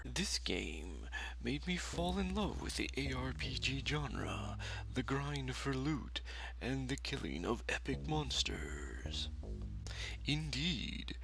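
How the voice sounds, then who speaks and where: A man's voice: medium pitch, creaky, poor audio. A man narrating a scripted top-five game review list in a pompous, dramatic, formal manner over music.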